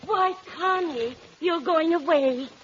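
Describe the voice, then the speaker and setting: A scatterbrained landlady, fussy and motherly. Her voice is high. The vintage radio comedy sounds muffled, with faint noise. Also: takes it slowly. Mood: puzzled. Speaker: actress in a radio sitcom.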